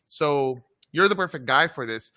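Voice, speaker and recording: Host voice: man, very bright and forward tone, fairly high pitch, upbeat with many fillers. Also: lively intonation, moderate pace. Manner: enthusiastic, hesitant fillers. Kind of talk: live show hosting.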